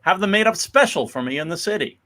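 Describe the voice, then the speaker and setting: A man's voice: high voice, lively intonation, quick pace, sharp tone. A man acting a genial middle-aged father and merchant in a dramatic comic reading, hearty and sociable.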